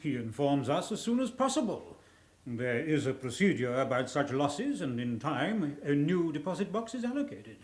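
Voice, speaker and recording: Stuffy guarded bank vault official, radio drama, highish lilting voice, echoey room. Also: male voice, very animated, moderate pace. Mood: reluctant, guarded. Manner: formal, precise, officious. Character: prim, guarded male safe-deposit manager. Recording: roomy, echoing sound.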